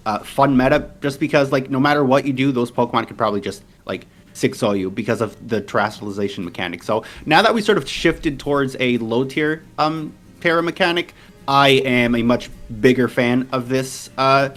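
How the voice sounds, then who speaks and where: A young man in his twenties, raised partly in the US and speaking with an American accent, talks through a casual interview in a middle-pitched voice. He rambles in a relaxed way, full of fillers and restarts. Faint music plays underneath. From Portugal. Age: 20s. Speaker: interview guest, competitive game player.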